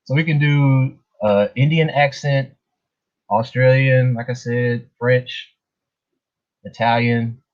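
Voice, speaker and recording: A man's voice: mid-range voice, fairly even intonation, slow, darkish tone. A man hosting a casual livestream, chatting informally with his viewers. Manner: informal, chuckles between remarks. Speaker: livestream host. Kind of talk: casual live chat with viewers. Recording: dull, muffled lo-fi stream audio.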